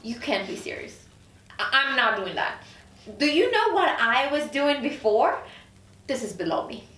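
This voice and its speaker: A woman's voice: high voice, sing-song, measured pace, fairly bright tone, exaggerated voice. A woman playing a proud, sarcastic career woman who is easily offended, in a drama script table read. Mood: incredulous, sarcastic. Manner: sarcastic, incredulous. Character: proud, indignant career woman newly arrived in hell. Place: echoey room, recorded from off. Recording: roomy, distant mic, faint noise.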